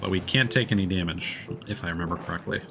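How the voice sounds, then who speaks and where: A man's voice: low-pitched, fast talker, background noise. A man streaming a video game, thinking aloud in casual, rambling commentary while he plays. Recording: game music behind the voice. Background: video game music.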